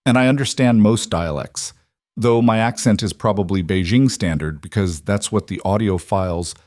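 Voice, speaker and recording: A man's voice: fairly low pitch, normal speed, darkish tone, dry recording, clean studio sound, lightly acted. A man voicing a shy, nervous young woman who works as a hotel cleaner, talking hesitantly in a raised voice. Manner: timid, hesitant, babbling.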